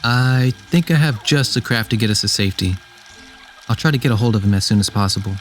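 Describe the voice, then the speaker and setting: A man's voice: medium pitch, fast talker, soft background music, close to an everyday voice. A man playing an earnest, proud mechanic and android builder in an amateur sci-fi radio drama. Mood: confident.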